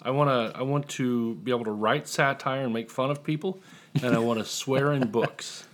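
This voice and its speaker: A middle-aged American man speaks as an interview guest in a relaxed, conversational format. His voice sits at an average male pitch. He talks casually, as someone explaining his own work. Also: moderate pace. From Indiana, United States. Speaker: guest, humor columnist.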